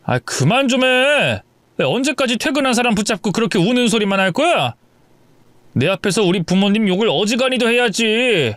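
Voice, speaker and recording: A man's voice: high-pitched, expressive, moderate pace, a bit bright, no room sound, pitched above the performer's own voice. A man voicing an impatient young husband who snaps at his wife. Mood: irritated. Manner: curt, exasperated.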